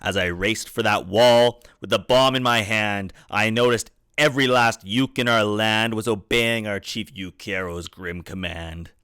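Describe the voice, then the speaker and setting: A man's voice: fairly low pitch, a little slow, hardly any pauses, pushed and bright, clear, resonant tone, no echo. Man reading a rhyming storybook, dry sound. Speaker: father reading a children's book aloud. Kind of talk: storybook read-aloud in rhyme. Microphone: USB desktop microphone.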